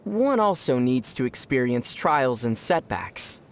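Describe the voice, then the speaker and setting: A man playing a young traveler hero in a video game, calm and wry, with a dry, teasing manner. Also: medium pitch, a little slow. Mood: calm, advising.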